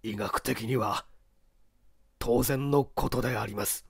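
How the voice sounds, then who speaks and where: A man playing a defeated accused detective in an audiobook, explaining himself in a measured, formal, subdued way. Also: mid-range voice, creaky, slightly rough, no echo, studio quality, full character voice. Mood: subdued, grave. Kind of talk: dramatic audiobook character reading.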